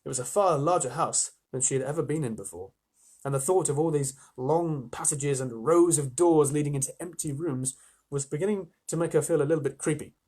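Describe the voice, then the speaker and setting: A man reads a children's story aloud in an expressive, informal way. His voice sits fairly high for a man and has a husky grain. He slips into casual asides to his listeners. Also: fairly quick. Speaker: storyteller reading a children's novel on a livestream.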